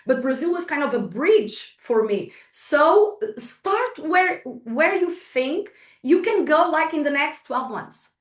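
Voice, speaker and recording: A woman's voice: high voice, fairly bright tone. A woman with a Portuguese accent, a Portuguese native speaker, giving a chatty motivational workshop talk to an online group, full of restarts and small laughs. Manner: chatty, frequent restarts and fillers, laughs at herself. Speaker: guest speaker. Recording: echoey room, distant from the mic.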